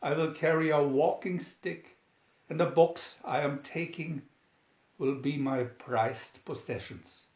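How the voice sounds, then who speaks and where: Man reading as cunning young gentleman hero, slow, declaiming. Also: fairly high pitch. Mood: resolute, scheming. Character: educated young Spanish Californian gentleman hero, cunning, haughty. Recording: roomy, dull home recording.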